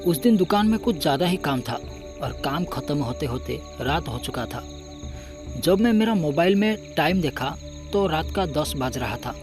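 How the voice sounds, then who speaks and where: Higher-pitched man telling a horror story. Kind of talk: dramatic scripted first-person horror storytelling.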